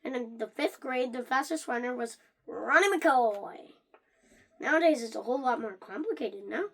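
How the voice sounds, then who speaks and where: Someone reading a children's book aloud in an animated way, stumbling over words and starting again. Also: mid-range voice, moderate pace. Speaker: reader of a read-along.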